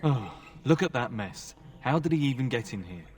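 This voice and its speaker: A man's voice: mid-range voice, expressive, moderate pace, distant mic, heavy background noise, lightly acted. A man playing a wry, easygoing young castle knight in fantasy video game acting, placating in manner. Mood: amused.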